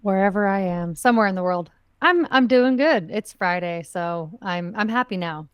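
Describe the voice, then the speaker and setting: A woman co-hosting a film podcast, speaking in a low-key, measured, slightly weary way. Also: smooth, no room sound, clean studio sound. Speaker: podcast co-host. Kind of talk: casual film podcast chat.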